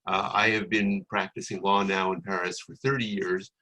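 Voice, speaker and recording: An older man with a New York accent introducing a webinar panel in a semi-formal, halting way with pauses mid-sentence. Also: sits low, little pitch movement, pushed and bright, low-quality recording. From New York City, United States.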